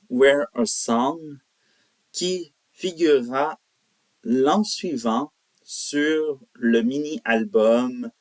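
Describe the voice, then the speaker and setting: A man hosting a music profile, narrating informally and haltingly, correcting himself as he goes. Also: medium pitch, no room sound, poor audio. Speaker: host of a country music profile show. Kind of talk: semi-scripted biographical narration to viewers.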